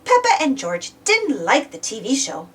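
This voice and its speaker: A woman reading a picture book aloud as narrator, expressively and deliberately, for young children. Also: fairly high pitch, huge pitch swings, slightly rough, far from the mic.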